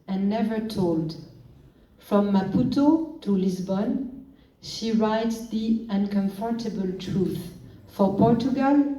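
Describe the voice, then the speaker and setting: A woman emceeing a literature event, formally introducing authors one by one in a measured, deliberate way. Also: medium pitch, mellow. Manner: measured and deliberate, pauses between phrases. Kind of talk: formal stage introductions, read aloud. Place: large hall at a literature event. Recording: echoey hall, far from the mic, faint noise.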